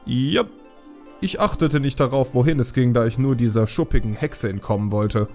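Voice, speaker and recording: A man voicing an excited fisherman who tells his tales in a colloquial, animated way for a fantasy audiobook. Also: medium pitch, moderate pace, soft background music, natural voice. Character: excited male fisherman recounting a wild sea escape.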